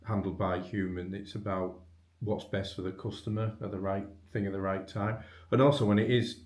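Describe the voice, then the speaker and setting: Male interviewer for a business webinar, conversational and unscripted, lower-pitched, in a reverberant room. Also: flat delivery, moderate pace, darkish tone. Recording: echoey room.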